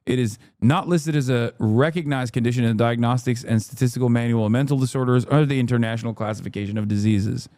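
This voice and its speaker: A man in his thirties hosting a live stream, speaking fast with sarcastic, mocking commentary. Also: mid-range voice, fairly even intonation, mellow, dry recording. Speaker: streamer host. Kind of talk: casual reaction-stream commentary.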